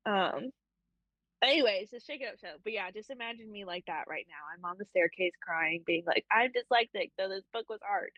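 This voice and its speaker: A woman's voice: mid-range voice, a little fast, dry recording, highs cut off. A young woman co-hosting a casual book club chat, rambling and self-deprecating with frequent laughs. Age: young adult.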